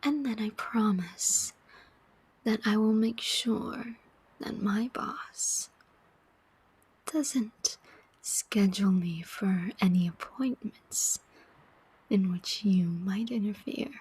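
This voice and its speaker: Woman playing a slow, whispering, taunting demoness. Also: mid-range voice, darkish tone, no room sound, low-quality recording. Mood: sly bargaining. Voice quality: breathy whisper. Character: taunting, cunning female demon collector of souls. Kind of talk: whispered ASMR roleplay.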